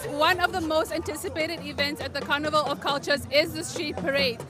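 A woman's voice: sits high, fairly even intonation, a little fast, crowd noise. A woman presenting a festival report as host, upbeat and enthusiastic. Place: outdoor street festival. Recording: festival music in the background. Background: music.

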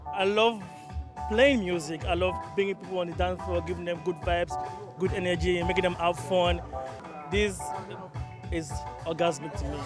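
A man's voice: sits high, fairly even intonation, normal speed. A man who works as a DJ, speaking with enthusiasm in an interview. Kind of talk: interview answer. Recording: music behind.

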